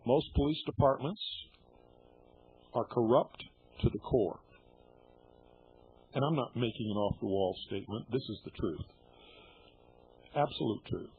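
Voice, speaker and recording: A man's voice: mid-range voice, animated, measured pace, pauses often, slightly rough, soft background music. A middle-aged man hosting a radio show, talking bluntly and indignantly to his listeners and swearing freely. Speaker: radio host. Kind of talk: shortwave radio monologue. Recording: tinny shortwave radio broadcast.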